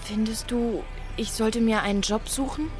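A teenage girl played by a woman in a radio play, with a fairly high young voice. She is hesitant and questioning, quick to get defensive, with resentment simmering underneath. Soft music plays behind her. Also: steady tone, close to an everyday voice. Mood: casual, unsure. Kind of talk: naturalistic radio drama acting in German.

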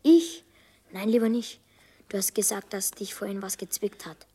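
A performer playing a curious but nervous child sidekick in a children's radio play, hesitant, with a husky, breathy voice. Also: mid-range voice, moderate pace, dark tone. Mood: nervous, hushed.

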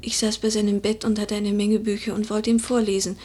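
An actress playing a proud, grieving young widow of noble birth in a literary radio drama, with a breathy, solemn delivery that often drops toward a whisper. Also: female voice, medium pitch, flat delivery, fairly quick, darkish tone, lightly acted. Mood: haunted, hushed.

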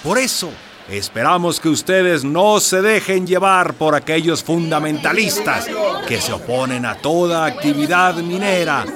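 A pompous government minister. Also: male voice, a little high, animated, a little slow, crowd noise. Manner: pompous, condescending, dismissive. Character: smooth-talking pompous male government minister. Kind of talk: radio drama acting.

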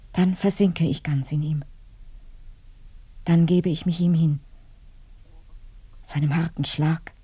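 A woman's voice: low voice, slightly rough, muffled recording, exaggerated voice. An actress in a radio play playing a grieving, dreamy woman lost in sensual memory, speaking intimately and breathily, often close to a whisper. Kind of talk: radio drama monologue.

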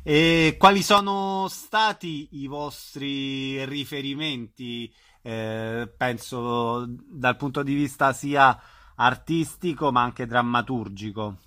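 A man's voice: medium pitch, slow, sharp tone, no room sound, studio quality. A man hosting a casual, conversational radio interview.

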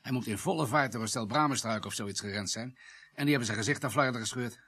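A matter-of-fact police doctor in a radio play. He has a husky, gravelly voice of medium pitch and speaks in an easy conversational way. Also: quick pace, fairly bright tone. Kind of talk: radio drama acting.

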